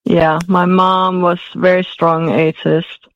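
A woman's voice: on the low side, level tone, takes it slowly, no room sound, lo-fi sound. A woman in her forties raised in Denmark, answering interview questions hesitantly with many fillers and restarts. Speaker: guest, author.

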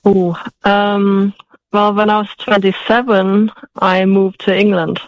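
A woman's voice: mid-range voice, flat delivery, slow pace, dry recording, muffled recording. A woman in her forties raised in Denmark, answering interview questions hesitantly with many fillers and restarts. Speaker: guest, author.

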